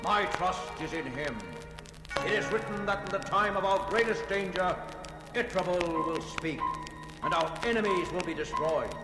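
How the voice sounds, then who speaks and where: An actor playing a proud, fervent leader of a primitive mountain people, declaiming like a preacher in a 1970s record drama. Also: male voice, high-pitched, normal speed. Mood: urgent, reverent. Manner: declamatory, solemn, preacher-like oratory. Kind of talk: 1970s children's record audio drama acting. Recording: echoey cavernous hall reverb, music behind.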